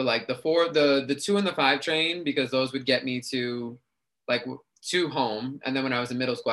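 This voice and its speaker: A man's voice: mid-range voice, a bit bright. Chatty young New man. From New York City. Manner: talkative, chatty with frequent fillers. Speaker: guest. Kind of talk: casual interview chat.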